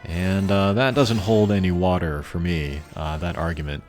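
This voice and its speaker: A man giving casual, unscripted gameplay commentary in a rambling, low-key way with frequent hesitant pauses. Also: steady tone, a little mellow. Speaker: game video creator. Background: video game music.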